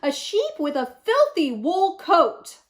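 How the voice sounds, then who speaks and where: A woman reading a children's picture book aloud expressively, with dramatic, emphatic pauses. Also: very high voice, very animated. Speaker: storyteller.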